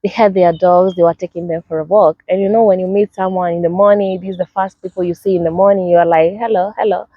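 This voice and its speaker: Animated Kenyan woman telling a story. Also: on the low side, quick pace, hardly any pauses, no room sound. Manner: animated, acts out greetings in a lively sing-song way. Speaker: vlogger. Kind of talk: casual personal storytelling to camera. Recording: dry, slightly dull sound.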